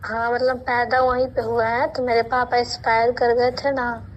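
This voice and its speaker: A woman's voice: fairly high pitch, little pitch movement, normal speed. A young woman with a Bihari accent answering plainly and respectfully in casual speech on a phone call. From Patna, Bihar, India. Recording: muffled noisy phone call recording.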